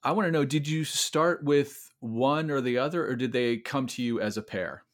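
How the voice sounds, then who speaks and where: A man with a flat Midwestern American accent, hosting a casual show and interviewing in a friendly, easygoing way. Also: medium pitch, normal speed. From Iowa. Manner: friendly, easygoing, uses fillers. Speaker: podcast host.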